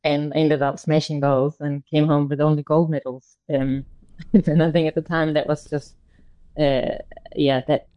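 A woman's voice: deep voice, flat delivery, unhurried, no echo, muffled recording. A middle-aged woman from Denmark, a former road cyclist, answering radio interview questions hesitantly with many fillers and restarts.

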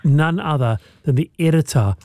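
A man's voice: fairly high pitch, normal speed, a little mellow, no echo, studio quality. A man hosting a radio talk show with enthusiastic, exclaiming delivery. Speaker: radio host. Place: radio studio.

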